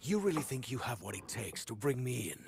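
Gravelly, taunting outlaw villain. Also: male voice, medium pitch, very animated, brisk, a little mellow, a little hiss or hum. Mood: coolly mocking. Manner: suave, taunting. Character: unflappable, menacing male outlaw villain, mockingly polite. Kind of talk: video game cutscene acting.

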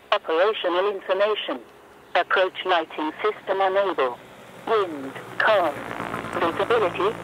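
Man, high voice, automated-style airport announcer, clipped phrases, radio effect, faint noise. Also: a bit bright, rough recording. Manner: clipped, segmented, repetitive delivery. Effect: aviation radio. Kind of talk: formulaic airport information broadcast read in short phrases.

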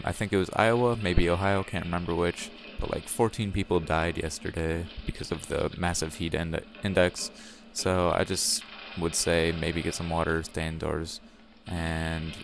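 A young man talks over game footage in a very low, gravelly voice with a slight rasp. He rambles loosely and keeps correcting himself mid-sentence. Music plays clearly behind him. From Wisconsin, USA. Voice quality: raspy. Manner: rambling, self-correcting, chatty. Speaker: gaming channel host. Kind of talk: casual gameplay commentary.

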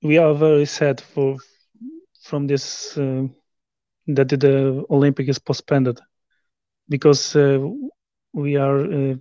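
A man in his thirties from Poland with a Polish accent, speaking halting English with many restarts in a video call interview. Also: mid-range voice, flat delivery, mellow, no room sound, muffled recording. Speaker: interview guest, rower. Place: living room at home.

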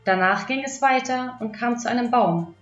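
A woman's voice: on the high side, normal speed. A woman narrating a scripted fairy tale for children as an audiobook storyteller. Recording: echoey, dull lo-fi, music behind. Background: music.

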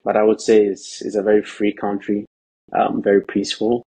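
A young man from Ghana, a professional soccer player, speaking as a podcast guest over a video call in a measured way, with lots of "you know" and "um". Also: on the low side, monotone, warm tone, breathy edge, poor audio. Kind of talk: casual interview talk. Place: indoors, bright room at home. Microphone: video call, laptop or phone camera.

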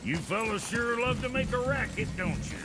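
An actor playing a gruff, foul-mouthed dwarf blacksmith in a video game, folksy and crude. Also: male voice, very high-pitched, expressive, moderate pace, loud music behind the voice. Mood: brash, irreverent. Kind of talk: video game cutscene acting.

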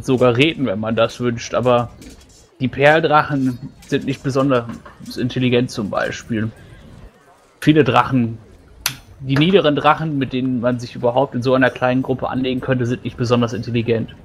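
A man with a mid-pitched voice speaks in character during a tabletop fantasy game, explaining as he goes. The recording has faint noise behind him. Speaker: tabletop role-player.

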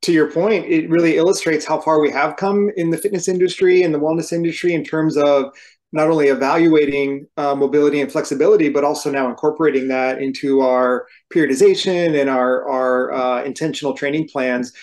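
A man in his forties, host of a firefighter fitness show, speaking in a measured, articulate way on a webcam call from home. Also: a little high, fairly even intonation, a little mellow. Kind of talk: casual conversational explanation. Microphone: video call webcam.

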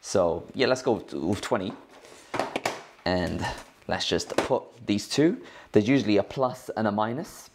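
A man's voice: fairly low pitch, a little slow, noisy background. A man hosting a tech tutorial in a casual, informal, slangy way, explaining things step by step. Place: indoors at a cluttered work desk.

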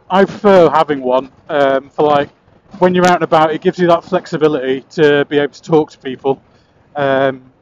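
A man chatting casually and enthusiastically as he reviews motorcycles while riding, speaking into a helmet intercom. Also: a little high. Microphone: helmet intercom camera mic. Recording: muffled helmet intercom with road noise.

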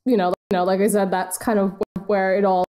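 A woman's voice: medium pitch, little pitch movement, fairly quick, mellow. An American woman in casual, informal podcast conversation as a co-host. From United States.

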